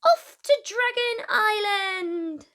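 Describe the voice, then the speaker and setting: A woman reading a children's storybook aloud expressively, with dramatic, deliberate emphasis on key words. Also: very high-pitched, a bit bright.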